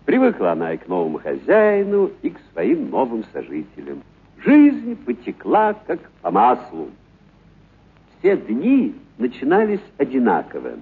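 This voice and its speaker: A man's voice: mid-range voice, wildly swooping pitch, a little slow, husky, phone-quality sound. A man narrating a literary story for radio expressively and theatrically, lingering on certain words.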